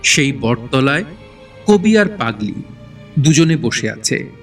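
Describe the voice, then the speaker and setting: A man narrating a horror audio drama in a dramatic, suspenseful style with deliberate phrasing. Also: medium pitch, sing-song, recorded from a distance, faint music behind.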